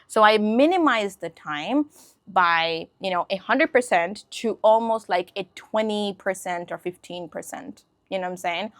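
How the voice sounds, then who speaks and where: A woman's voice: medium pitch, measured pace, fairly bright tone, no room sound. A woman whose first language is Hindi gives a casual English lesson, often checking in with her listeners. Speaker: English teacher. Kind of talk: casual spoken lesson to camera.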